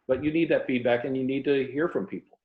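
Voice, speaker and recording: A man's voice: mid-range voice, fairly even intonation, fast, a little hiss or hum. An older American man in a casual interview about his craft, thinking aloud in a conversational way. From Tampa, Florida, United States. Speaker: author, interview guest. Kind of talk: casual literary interview chat. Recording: muffled, echoey room audio.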